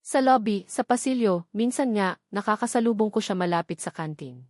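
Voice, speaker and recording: A woman narrating a first-person romance story in a gentle, reflective manner into a close mic. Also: medium pitch, expressive, moderate pace, no room sound.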